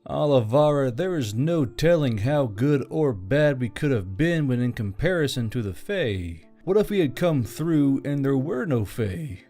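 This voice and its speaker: A man voicing a weary, self-doubting, soft-hearted military commander in audiobook dialogue, speaking in a subdued way. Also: mid-range voice, animated, measured pace, few pauses, soft background music. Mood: troubled.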